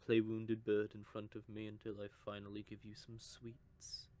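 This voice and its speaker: A man voicing a cocky, possessive male vampire who teases with soft whispered asides, in an audio roleplay. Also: lowish voice, completely flat delivery, normal speed, natural voice. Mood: teasing. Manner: teasing, smug, with soft whispered stretches.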